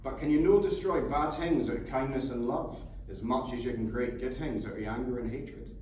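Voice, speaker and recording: A man's voice: mid-range voice, moderate pace, a little mellow, natural voice. A man reading the part of a fervent, sweary Scottish mentor who philosophises in an emphatic, coaxing manner. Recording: echoey theatre from far off, faint noise.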